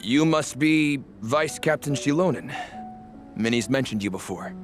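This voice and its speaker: A man's voice: medium pitch, animated, unhurried, over music, lightly acted. An actor playing a practical, stern father in a video game, with a formal and firm way of talking.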